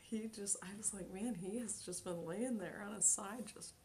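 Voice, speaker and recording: A young woman in her twenties chatting casually on a live stream from her bedroom, reading chat aloud, giggling often and dropping into soft near-whispers. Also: medium pitch, moderate pace, slight background noise. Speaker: Twitch streamer. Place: small bedroom at home.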